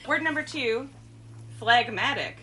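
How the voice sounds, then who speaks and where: A woman's voice: a little high, lively intonation, measured pace, over a noisy crowd, poor audio. A woman hosting a casual language quiz video, chatty and upbeat, with frequent laughter in her talk. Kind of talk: casual game-show presenting and chat.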